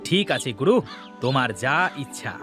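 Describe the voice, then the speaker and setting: A man's voice: mid-range voice, lively intonation, normal speed, over music, natural voice. A man voicing a simple, good-for-nothing young village sidekick in a cartoon, obedient but grumbling. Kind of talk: Bengali cartoon voice acting.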